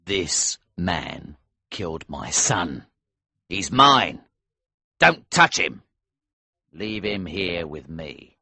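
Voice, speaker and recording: A deep, slow-spoken smuggler chief, as a male narrator puts him on, cold and menacing, with a bright, sharp edge and lively swings in pitch. Close, dry recording. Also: gravelly, husky, no echo, full character voice. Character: cold, vengeful middle-aged male smuggler leader. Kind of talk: audiobook character voice.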